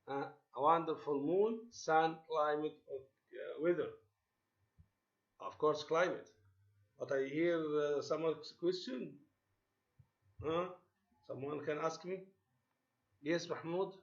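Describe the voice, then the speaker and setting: English teacher guy, kinda high voice, lots of uh's, muffled lo-fi sound. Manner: halting, many "uh" fillers, switches between English and Arabic. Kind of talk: online classroom lesson. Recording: muffled lo-fi audio.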